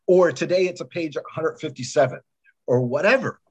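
A man's voice: a little high, fairly quick, breathy edge. A man speaking as a podcast producer in a casual interview, with an emphatic, rambling storytelling manner. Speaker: podcast producer and sound editor. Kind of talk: animated casual interview chat.

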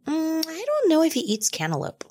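A woman's voice: very high voice, huge pitch swings, a little fast, mellow, full character voice. A woman playing a naive, earnest and oblivious girlfriend in a comedy audio drama.

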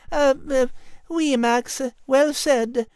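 A woman's voice: high voice, steady tone, extremely slow, darkish tone, dry recording, studio quality. A woman playing a refined, tactful, gracious French animal in a children's audio drama, with a French accent and dainty, polite hesitations.

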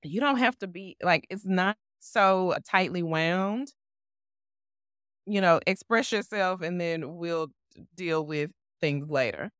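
A woman co-hosting a podcast who talks loudly with a bassy voice, measured and assured. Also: clean tone, no room sound, clean studio sound. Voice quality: loud with a bassy voice. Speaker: podcast co-host. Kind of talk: casual conversation.

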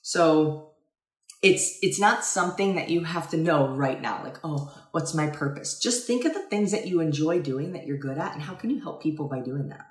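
A woman's voice: low-pitched, animated, moderate pace, echoey room. A woman co-hosting a casual talk, reflective and earnest, speaking in long, winding sentences.

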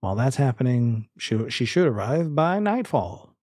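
A man narrating a tabletop roleplaying game as game master, pausing mid-sentence as he improvises. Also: mid-range voice, very animated, a little mellow, husky, no echo, clean studio sound.

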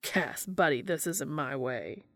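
A woman's voice: low-pitched, animated, slow pace, fairly bright tone, no room sound, pitched below the performer's own voice, exaggerated voice. A woman voicing a guarded, hurting grown man who gives curt, muttered short replies.

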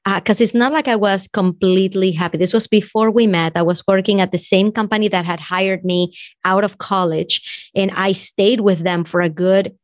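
A woman in her thirties with a Venezuelan accent tells her story in a chatty, animated way. Her voice sits in a middle register and is recorded close and dry, with little room sound. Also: medium pitch, normal speed, dry recording. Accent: Venezuelan Spanish. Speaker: guest business coach. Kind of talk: casual interview chat.

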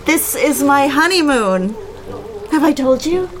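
A woman's voice: sits high, animated, measured pace. An actress playing a giddy, gushing young bride in an audio drama, childlike and insistent in what she demands. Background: prominent music.

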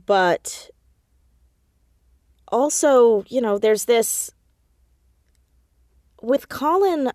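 Woman, lowish voice, unhurried pace, expressive casual storyteller, interview guest. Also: no room sound, clean studio sound. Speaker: audio drama creator and voice actor, interview guest. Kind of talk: casual, animated interview chat.

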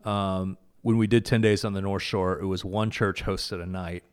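A man's voice: low voice, fairly even intonation, moderate pace, clean tone. A man casually and earnestly recounting a prayer gathering, with many fillers and restarts. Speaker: guest, prayer event organizer. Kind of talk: casual conversation about faith.

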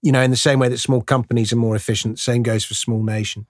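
A man hosting a podcast interview, joking and wrapping up the show smoothly. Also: mid-range voice, level tone, fast, no echo, studio quality. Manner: jokey, polished, wraps up smoothly. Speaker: podcast host.

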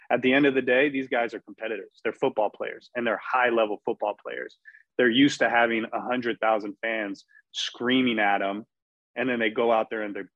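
A man's voice: on the low side, fairly bright tone, no room sound. Male strength coach, panel guest. Emphatic and fired up. Muffled, dead-dry recording. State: losing his voice. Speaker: performance coach. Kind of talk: panel discussion. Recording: dull, dry lo-fi audio.

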